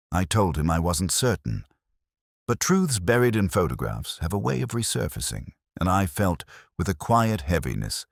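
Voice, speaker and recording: Very deep male detective story narrator. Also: no echo, clean studio sound. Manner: literary, measured, brooding. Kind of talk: scripted first-person detective story narration.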